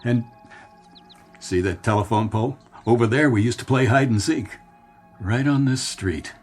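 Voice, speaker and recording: A man's voice: mid-range voice, moderate pace, darkish tone, soft background music, lightly acted. A man playing a weary, nostalgic middle-aged businessman who muses wistfully in a radio drama. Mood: reminiscing. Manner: wistful, musing.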